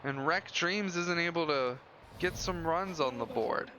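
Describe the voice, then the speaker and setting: A man's voice: a little high, a little slow. A man doing live play-by-play baseball commentary in clipped calls with casual asides. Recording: dull lo-fi audio with loud background noise.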